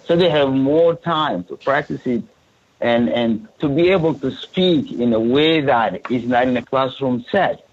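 A man's voice: mid-range voice, slightly rough, dull audio. A man from Sudan who coordinates refugee support services speaks earnestly in a podcast interview, often restarting and repeating words.

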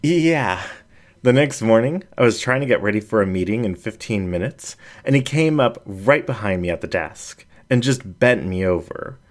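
A man voicing a playful adult female animal character in an audiobook, with an animated, confiding storytelling manner. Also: lowish voice, moderate pace, lightly acted. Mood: confiding, fond. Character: playful adult female anthropomorphic animal sharing personal anecdotes.

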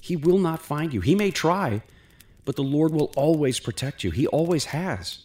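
A man voicing a loyal young prince in a storybook reading, speaking with a gentle, earnest and reassuring manner. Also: a little high, animated, moderate pace, pitched above the performer's own voice, lightly acted. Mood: encouraging. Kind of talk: storybook character voice.

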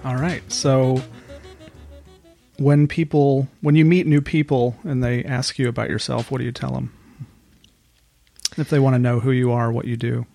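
A man hosting a podcast who introduces his guest and asks relaxed, curious questions. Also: medium pitch, moderate pace, vocal fry, long pauses, faint music behind. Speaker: podcast host. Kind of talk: podcast interview.

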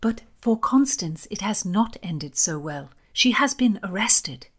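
A woman voicing a courteous, determined English gentlewoman in a period audiobook, polite, breathy and urgent. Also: mid-range voice, animated, measured pace, pitched above the performer's own voice.

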